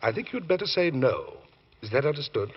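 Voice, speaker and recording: An actor playing a fussy, reassuring older English solicitor in a proper, avuncular manner, in 1940s radio drama style. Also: male voice, mid-range voice, sing-song, a little fast, breathy edge. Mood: soothing, brisk. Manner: avuncular, proper, swooping emphasis. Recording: muffled old radio recording.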